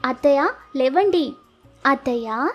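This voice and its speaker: A woman's voice: high voice, expressive, a little slow, soft background music. A woman voicing a sweet, innocently oblivious young daughter-in-law who is polite and eager to please, in a village moral story. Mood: cheerful, affectionate. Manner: polite, cheerful coaxing.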